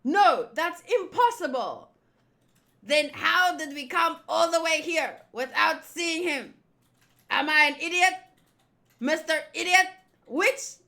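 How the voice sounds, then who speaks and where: A woman's voice: very high-pitched, slow pace, creaky, pushed and bright, heavily acted. A woman voicing a flamboyant, hot-tempered male pirate villain from a manga, theatrical, indignant and commanding. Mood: demanding, exasperated.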